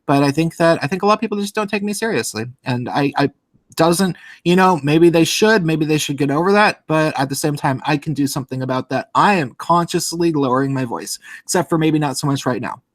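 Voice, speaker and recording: A man's voice: fairly high pitch, a little fast, no echo, clean studio sound. A man in his late thirties with a nasal voice, hosting a casual livestream chat and rambling with frequent restarts. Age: late 30s. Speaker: podcast host.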